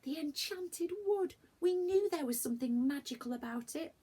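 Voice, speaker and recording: Woman voicing an eager, excitable young girl. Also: high-pitched, normal speed, voice pushed up. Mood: excited. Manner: eager, wondering, exclaiming. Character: excitable, curious young country girls.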